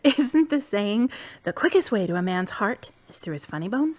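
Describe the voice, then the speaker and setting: A woman's voice: medium pitch, very animated, moderate pace. A playful young woman, played by a woman, with a light, teasing way of talking. She sounds natural and unforced in this amateur audio drama.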